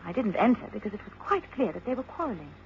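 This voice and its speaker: An actress playing a well-spoken, composed young English daughter in mourning, in a radio drama. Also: female voice, mid-range voice, sing-song, fast. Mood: anxious recounting. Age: young adult. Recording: dull, faintly noisy old radio recording.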